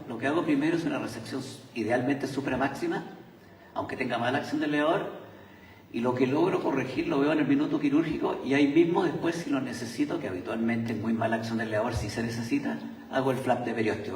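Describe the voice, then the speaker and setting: A man's voice: medium pitch, quick pace, slight background noise. A man with a Chilean Spanish accent giving a surgical talk at a conference, hesitant, with many fillers and restarts. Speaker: surgeon presenting at a conference. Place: large lecture hall. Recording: echoey hall from far off.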